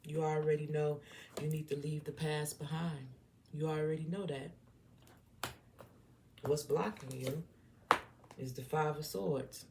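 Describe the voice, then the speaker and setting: A woman with a Southern US accent leads an informal tarot reading in a low voice. She takes her time, repeating points with blunt emphasis and addressing the viewer directly. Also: steady tone, a little slow, creaky, long pauses, very noisy. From southern United States. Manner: emphatic, repetitive, blunt, checks in with "okay?". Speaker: tarot reader.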